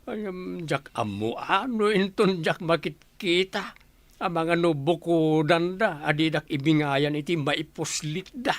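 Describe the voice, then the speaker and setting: An actor with an Ilocano accent playing a scheming village husband and animal thief in a comedy radio drama. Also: male voice, on the high side, a little slow, dry recording. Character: scheming village husband who steals animals for drinking food.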